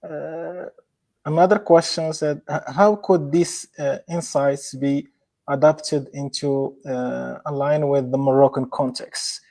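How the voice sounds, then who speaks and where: Guy hosting the q and a, reads out questions politely, kinda high mellow voice. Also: steady tone, vocal fry. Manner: polite, measured, with fillers. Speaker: session moderator. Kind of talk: reading out audience questions.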